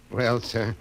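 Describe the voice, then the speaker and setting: Genial old father, lively swoops. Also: mid-range voice, full character voice, rough recording. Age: older. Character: genial, folksy old father of a Navy family. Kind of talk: 1950s radio drama acting.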